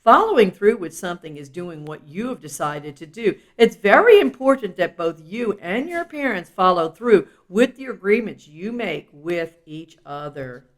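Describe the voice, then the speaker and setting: A woman's voice: medium pitch, lively intonation, normal speed, hardly any pauses. A woman reading a children's self-help book aloud in an instructive, explanatory way, with casual asides.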